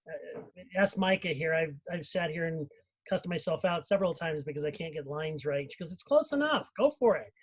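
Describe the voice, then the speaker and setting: A man's voice: on the high side, lively intonation, normal speed, slightly rough. A man who makes audio drama, chatting casually and putting questions to fellow cast members on a live stream.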